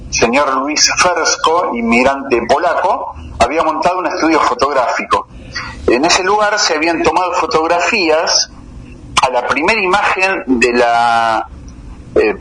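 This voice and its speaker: A man in his fifties with a Rioplatense Argentine accent, a guest journalist telling local cases in a radio interview, an unhurried and detailed storyteller. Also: fairly high pitch, sharp tone, a little hiss or hum, rough recording. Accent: Rioplatense Argentine Spanish. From Buenos Aires, Argentina.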